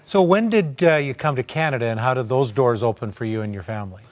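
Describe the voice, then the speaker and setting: A man's voice: medium pitch, quick pace. A man hosting a talk show interview, putting questions and recapping the guest's story in a conversational way.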